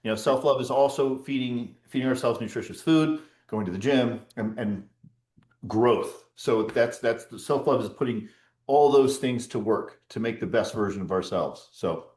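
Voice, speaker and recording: A man's voice: medium pitch, darkish tone. A man hosting a casual sobriety support call over video, encouraging and emphatic, repeating his points. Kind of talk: casual group chat. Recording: roomy echo.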